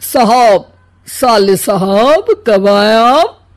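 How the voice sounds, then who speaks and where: A woman's voice: on the high side, animated, very slow, exaggerated voice. A woman voicing a jovial older father-in-law in a dramatised family story, drawling and teasing.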